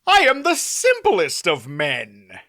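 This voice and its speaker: Guy doing a proud courtly knight, slow stately talk, lots of swing in pitch. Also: huge pitch swings, pushed and bright, no room sound, studio quality, heavily acted. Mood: earnest. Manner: old-fashioned formal speech. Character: boastful chivalrous male knight, formal, archaic.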